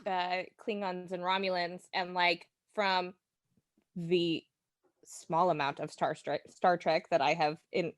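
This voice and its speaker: A woman's voice: lowish voice, level tone, slow, dry recording, clean studio sound. A woman chatting casually and curiously as a podcast guest. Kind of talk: casual chat.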